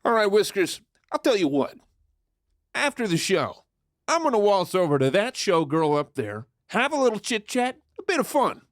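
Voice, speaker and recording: A man's voice: high voice, very animated, normal speed. A man playing a flirtatious, mischievous outlaw in a western audio drama, with showy, folksy cowboy patter. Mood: teasing, confident. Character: swaggering, flirtatious male outlaw charmer, mischievous and theatrical.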